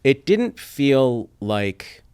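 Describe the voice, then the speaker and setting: A man's voice: mid-range voice, sing-song, drawn-out words, darkish tone, clear, resonant tone. A middle-aged man from Iran, a podcast guest, telling his story in a thoughtful, reflective way and acting out quoted voices. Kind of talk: reflective interview talk.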